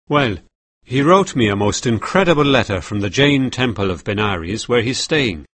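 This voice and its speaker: A man's voice: medium pitch, animated, normal speed, a bit bright, slightly rough. A man voicing a skeptical, formal male priest.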